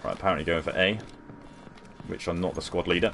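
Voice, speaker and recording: A young man talks easily over his gameplay, answering chat in a relaxed way. His voice sits a little low. Quiet battle sounds hum beneath him. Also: fast talker, vocal fry. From United Kingdom. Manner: chatty, laid-back, reads and answers chat. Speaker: gaming livestreamer. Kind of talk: casual live commentary over gameplay.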